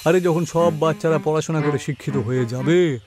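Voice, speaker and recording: A man's voice: fairly high pitch, a little fast, natural voice. A man playing a jealous, scornful, scheming village headman in a cartoon, speaking emphatically. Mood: scornful, aggrieved. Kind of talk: dubbed cartoon acting. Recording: voice over background music.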